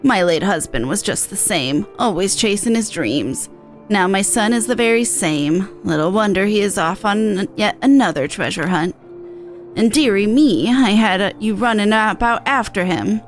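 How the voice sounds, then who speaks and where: A woman voicing a kindly older village mother, a widow in a fantasy game, speaking in a gentle, folksy and fond manner. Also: medium pitch, expressive, moderate pace, faint music behind, close to an everyday voice. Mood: fond, grateful. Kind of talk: acted reading of fantasy game dialogue.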